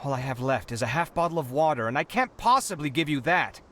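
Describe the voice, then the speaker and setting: A man's voice: on the high side, expressive, fast talker, bright, forceful tone, slight background noise. A man playing a harried young inventor in a video game, dramatic and put-upon but kind-hearted, with an exasperated manner. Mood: exasperated, pleading. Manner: exasperated, theatrical, sighing. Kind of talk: animated video game character acting.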